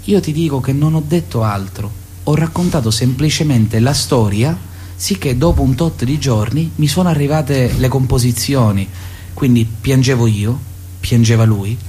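A man's voice: mid-range voice, moderate pace, dark tone, a little hiss or hum. A man speaking spontaneously in a radio interview as a theatre writer and director, often hesitating as he searches for words. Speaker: theatre author, director and actor.

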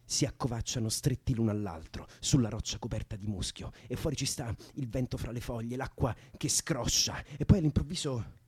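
A man's voice: medium pitch, brisk, few pauses, darkish tone, slightly rough. An actor playing a young man in a radio drama, soothing a distressed woman with colloquial, improvised-sounding stories. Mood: absorbed storytelling. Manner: coaxing, colloquial, imaginative. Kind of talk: Italian radio drama acting.

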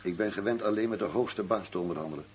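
Low-voiced, curt arms dealer played by a man. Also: fast, close to an everyday voice. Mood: hard, condescending. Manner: curt, commanding, condescending. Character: shrewd, stubborn male arms dealer, coolly condescending negotiator. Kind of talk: crime radio drama acting. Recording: faint noise and hum.

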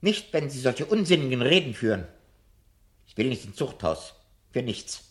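Animated, disillusioned old professor. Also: male voice, medium pitch, moderate pace. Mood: alarmed, stern. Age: older. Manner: theatrical, eloquent, emphatic declaiming. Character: disillusioned, fearful old professor, bookish and sardonic. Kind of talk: 1970s German radio drama acting.

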